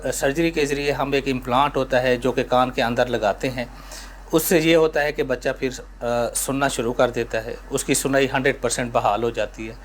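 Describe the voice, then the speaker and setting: A man with a Pakistani accent answering interview questions as a doctor, explaining in measured phrases with pauses and some English medical terms. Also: mid-range voice, fairly even intonation. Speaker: doctor, ENT surgeon being interviewed. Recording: noticeable background ambient noise.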